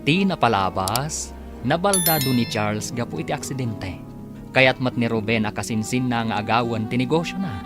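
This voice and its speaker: A man's voice: mid-range voice, expressive, normal speed. A man announcing a radio drama serial with scripted, dramatic narration. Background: music.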